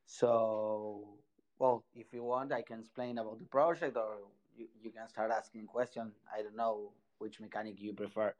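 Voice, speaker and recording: A man from Argentina with an Argentine Spanish accent, a project founder guesting on an online audio chat, speaking halting English and searching for words. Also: lowish voice, level tone, a little mellow, lo-fi sound.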